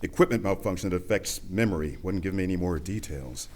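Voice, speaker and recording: A man playing an exasperated police commissioner in a radio play, halting and apologetic. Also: low-pitched, a little mellow. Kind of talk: comic radio play acting.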